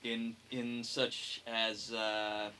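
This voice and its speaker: A man's voice: lowish voice, monotone, very slow, bright, forceful tone, slight background noise. A young man talking casually as a podcast co-host, blunt and mock-serious. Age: young adult. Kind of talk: casual opinionated chat.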